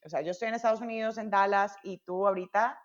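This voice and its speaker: A woman hosting a conversational interview, talking haltingly with many restarts and a few English words mixed in. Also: mid-range voice, fairly even intonation, muffled recording. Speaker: podcast host. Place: lives in Dallas, United States.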